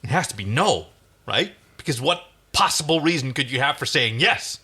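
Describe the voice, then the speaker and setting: A man voicing a manic, taunting male astronaut hero with a loud, sing-song, mocking delivery. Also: mid-range voice, animated, measured pace, pitched above the performer's own voice. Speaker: fan fiction reader.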